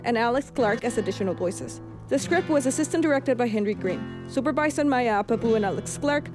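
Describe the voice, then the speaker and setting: A woman announcer reading scripted closing credits for a podcast. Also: high-pitched.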